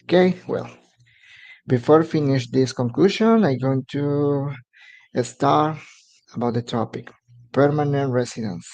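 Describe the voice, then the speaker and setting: A man from Mexico, a native Spanish speaker with a Mexican accent, explaining immigration steps on a live stream in halting English full of fillers. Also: medium pitch, a little mellow. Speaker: immigration advisor.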